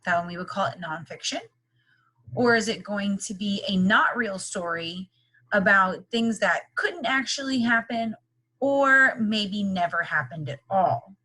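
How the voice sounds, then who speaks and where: A woman's voice: medium pitch, expressive, measured pace, pushed and bright, low-quality recording. A woman teacher leading a read-aloud lesson for young children, with instructive, question-filled, encouraging phrasing.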